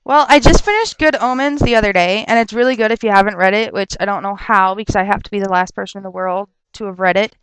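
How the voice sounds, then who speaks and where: A young woman rambling casually on a webcam vlog, chatty and quick to laugh and rant, her nose stuffed up from a cold. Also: medium pitch, fairly bright tone, clean tone, dry recording, rough recording. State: stuffed up with a sore throat. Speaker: vlogger answering viewer questions.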